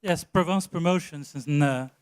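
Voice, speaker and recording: Agency guy explaining what they do, kinda high voice, careful wording. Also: moderate pace, clear, resonant tone. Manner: careful, hedging with filler phrases. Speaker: economic development agency representative. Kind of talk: trade show interview.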